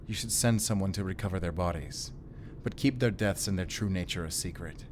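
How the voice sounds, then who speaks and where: A stoic werewolf hunter with a fairly low, steady voice, as a man acts him out, calm and matter-of-fact with a dry wit. He sounds like he is in an audio roleplay drama, with a faint hiss under the voice. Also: a little fast, natural voice. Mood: grave. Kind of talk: cinematic audio roleplay acting.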